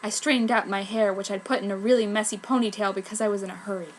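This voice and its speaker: A woman's voice: medium pitch, fairly even intonation, fast, a little hiss or hum, rough recording. A woman reading fan fiction aloud as a dramatic narrator.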